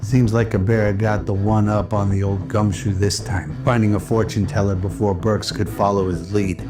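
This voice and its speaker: A man's voice: lowish voice, level tone, normal speed. A man narrating a scripted radio drama in a pulp noir storytelling style. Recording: music under the voice.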